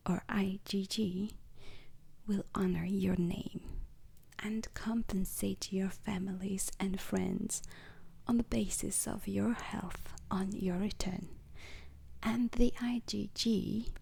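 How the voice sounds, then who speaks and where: A woman plays an alien instructor briefing space recruits in an ASMR roleplay. The delivery is a breathy, husky whisper with some crackle in it. Every phrase comes slowly and deliberately, solemn and strict like a lesson. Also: mid-range voice, a little mellow, exaggerated voice.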